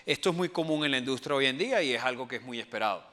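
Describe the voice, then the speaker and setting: Venezuelan male presenter at a conference, bright voice, halting delivery, echoing hall. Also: mid-range voice, animated. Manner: hesitant, many fillers and restarts. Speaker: enterprise architect. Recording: long hall reverb.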